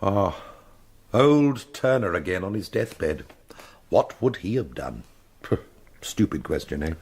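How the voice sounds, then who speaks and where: A man's voice: low-pitched, lively intonation, takes it slowly, darkish tone, slightly rough, heavily acted. A man acting a naive, flustered painter in a radio drama, hesitant and stammering as he protests. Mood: torn, unsure. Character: naive, flustered male painter with a nagging conscience. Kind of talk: radio drama acting and first-person narration.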